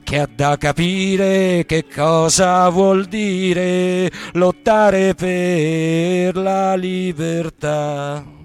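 A man's voice: high-pitched, steady tone, drawn-out words, clear, resonant tone, faint music behind. A man singing a narrative Italian folk protest song as part of a theatre performance. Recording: singing with musical accompaniment.

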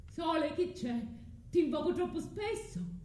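A woman's voice: very high-pitched, expressive, unhurried, darkish tone. An actress playing Medea, an anguished, vengeful mother, in an impassioned, declamatory tragic monologue for radio theatre. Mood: resolute, anguished. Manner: impassioned, declamatory, swinging between tenderness and fury. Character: Medea, anguished vengeful mother resolving to kill her children. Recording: roomy echo, faint background noise.

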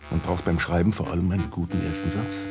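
An actor playing a wry, musing writer who narrates his story in a children's radio play, in a gravelly, husky voice. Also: deep voice. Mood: musing, amused.

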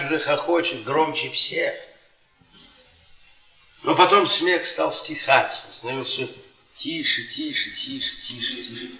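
Bitter middle-aged academic, radio theatre, sharp forward tone, theatrical deliberate phrasing, echoey distant recording. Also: male voice, fairly high pitch. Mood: hushed, reflective. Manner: theatrical, deliberate storytelling with trailing repetitions. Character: bitter, worn-down middle-aged history professor, sardonic storyteller. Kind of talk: Soviet radio theatre acting, dramatic monologue. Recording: roomy stage-like echo, distant from microphone.

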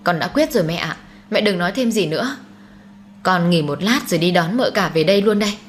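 A woman's voice: lowish voice, lively intonation, fairly quick, a little hiss or hum, lightly acted. A woman voicing an earnest, devoted and resolute young husband in an audiobook melodrama. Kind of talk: dramatised audiobook storytelling.

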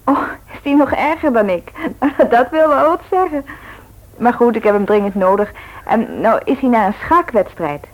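An actress playing a chatty, cheeky young sister in a radio play, lively and helpful. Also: female voice, on the high side, normal speed, lightly acted, low-quality recording. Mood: cheery.

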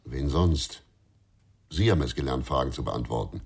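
An actor playing a weary, brooding middle-aged ex-policeman with a drinking past, gravelly and terse, in a radio crime drama. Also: very deep voice, lively intonation, moderate pace, a little mellow, no echo, exaggerated voice. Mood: defensive, troubled. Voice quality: gravelly, husky.

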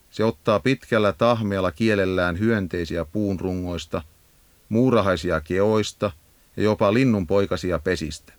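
Guy reading a nature guide script, kinda low voice, measured n instructive, really dry sound. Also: dry recording. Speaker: narrator of a birdsong guide. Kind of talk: scripted educational narration. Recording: 1980s audio cassette narration.

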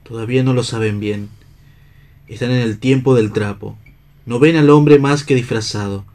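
An actor playing an earnest idealistic hero in a radio play, solemn and declamatory. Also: male voice, medium pitch, moderate pace, darkish tone, lightly acted. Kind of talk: theatrical radio play reading.

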